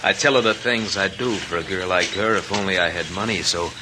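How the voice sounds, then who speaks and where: A man's voice: low-pitched, a little fast, close to an everyday voice. An actor playing a boastful, smitten young college man in old-time radio drama style. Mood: smug, dreamy. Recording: noisy old radio recording.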